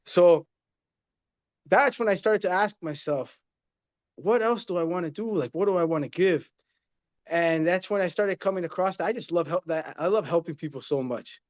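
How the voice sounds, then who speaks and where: A man's voice: high-pitched, normal speed, highs cut off. A man in his thirties, a guest in a casual interview, talking earnestly and repeating his points like a motivational speaker. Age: 30s.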